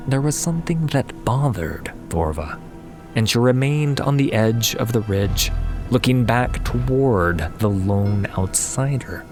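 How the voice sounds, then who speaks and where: A man narrating a fantasy audiobook in a dramatic, measured and solemn scripted style. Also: fairly low pitch. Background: music.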